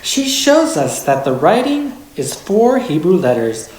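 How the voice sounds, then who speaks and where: A person reading a holiday story aloud in a measured storybook way with expressive pauses. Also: medium pitch. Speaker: story reader. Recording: echoey room.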